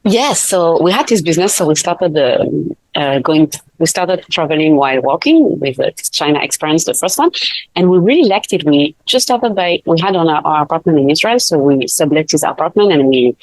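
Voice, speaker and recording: Low-voiced woman, laughing easily. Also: lively intonation, brisk, a little mellow, dry recording, low-quality recording. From Switzerland. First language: not English. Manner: laughs often, many fillers and restarts. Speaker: guest, life coach. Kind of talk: casual interview chat.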